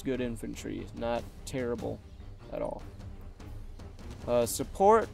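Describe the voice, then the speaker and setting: Slow rambling young-sounding man, soft music behind. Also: medium pitch. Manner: relaxed, rambling, many fillers and self-corrections. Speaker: gaming YouTuber. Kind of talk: casual game deck walkthrough.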